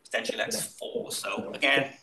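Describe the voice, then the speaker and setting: A man from Wales with a Welsh accent mixed with Kentucky, giving a brisk, upbeat welcome talk to students as department chair. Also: mid-range voice, bright, forceful tone. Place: lecture room. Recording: roomy, from a distance, faint noise.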